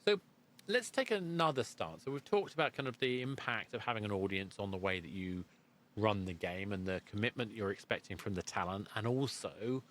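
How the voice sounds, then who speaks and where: A man with a fairly low voice hosts a conversational interview. He thinks aloud, circling and rewording his phrases before he settles on them. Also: brisk, fairly bright tone. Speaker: podcast host.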